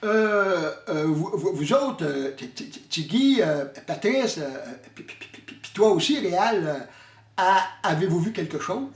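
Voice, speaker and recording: A man's voice: sits high, big pitch swings, measured pace, faint music behind, full character voice. A man doing a stammering, hesitant hockey guy. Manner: stutters, repeats names, lots of hesitations. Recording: roomy echo, distant mic.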